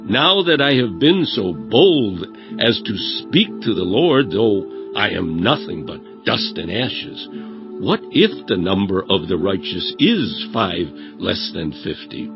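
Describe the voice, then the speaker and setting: An actor playing a very old, humble patriarch who pleads earnestly and reverently in a dramatized scripture. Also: male voice, low-pitched, very animated, dull audio, music in the background, exaggerated voice. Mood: humble pleading. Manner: earnest, deferential, drawn-out pleading.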